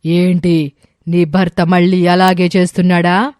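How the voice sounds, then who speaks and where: Woman playing a stern demoness, flat and menacing. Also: on the low side, measured pace, no echo, clean studio sound, close to an everyday voice. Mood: stern, commanding. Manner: commanding, scolding. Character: stern, threatening brahma demoness punishing a lazy man. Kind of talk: animated moral story voice acting.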